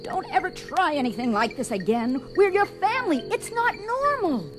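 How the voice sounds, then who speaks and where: A woman's voice: sits high, wildly swooping pitch, normal speed. An actress playing an exasperated, practical wife and mother, scolding her husband in a dramatised story record. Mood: scolding, upset. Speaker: actor. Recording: dramatised record with music behind.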